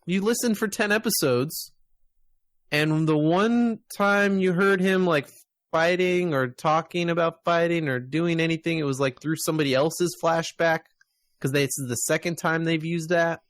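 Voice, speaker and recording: A man's voice: a little high, measured pace, no echo. Casual man chatting on a podcast, dry sound. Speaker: podcast host. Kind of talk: casual review chat.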